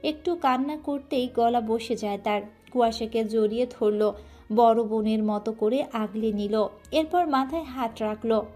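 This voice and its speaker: A woman reads a romantic story aloud with emotive, dramatic delivery. Her voice is mellow and soft yet pitched somewhat high, and faint music plays under her reading. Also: measured pace. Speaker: story narrator. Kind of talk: scripted storytelling narration.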